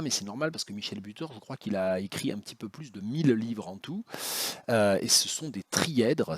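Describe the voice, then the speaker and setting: Husky low-voiced man in a dry room. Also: darkish tone, no room sound. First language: French. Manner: hesitant, many fillers and restarts. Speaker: university academic, book vlogger. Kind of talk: casual spoken book vlog.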